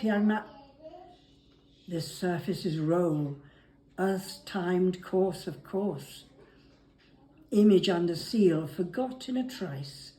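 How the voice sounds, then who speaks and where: Woman with a soft, dark tone, slightly low, reciting verse unhurriedly over faint noise. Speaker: family member reading poetry. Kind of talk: amateur poetry reading. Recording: amateur recording with faint background noise.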